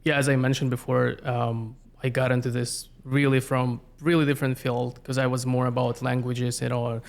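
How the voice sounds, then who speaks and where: A man in his thirties from Russia, whose first language is Yakut, speaking haltingly with many fillers as an interview guest. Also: mid-range voice, level tone. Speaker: guest, community planner. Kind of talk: casual interview chat.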